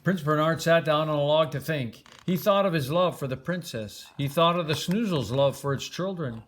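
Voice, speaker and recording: A man's voice: fairly high pitch, normal speed. An older man reading a children's storybook aloud as a grandfather would, with expression.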